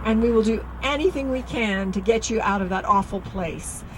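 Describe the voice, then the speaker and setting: A woman giving a measured, supportive address at an outdoor rally and introducing the next speaker. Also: mid-range voice, a little hiss or hum, poor audio.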